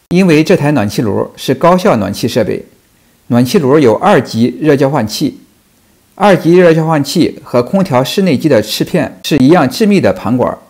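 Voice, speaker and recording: A man's voice: mid-range voice, a little mellow. A man narrating a technical lesson on furnace repair in a plain, explanatory way. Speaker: HVAC technician. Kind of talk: instructional voice-over narration.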